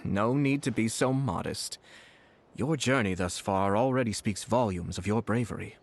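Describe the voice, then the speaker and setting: A man's voice: on the low side, moderate pace, soft background music, close to an everyday voice. An actor playing a courteous, composed male shrine event official in an anime game dub, speaking in a polite, formal way.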